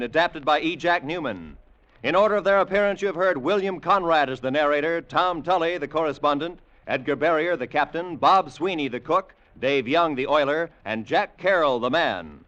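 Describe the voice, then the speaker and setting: Higher-voiced male vintage radio announcer. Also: moderate pace, a bit bright. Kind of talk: scripted 1950s network radio announcing. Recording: dull vintage radio, music behind.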